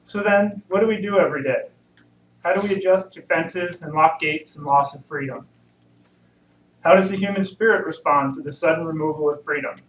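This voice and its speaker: Higher-voiced man reading poetry in an echoey room. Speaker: reader at a church service. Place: church room, recorded from a distance. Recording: roomy, distant mic, faint noise.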